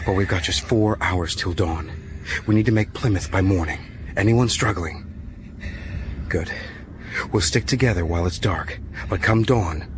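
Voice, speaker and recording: A man's voice: sits low, background noise. A man acting a firm, urgent leader of escaping prisoners in a period radio drama, commanding and terse.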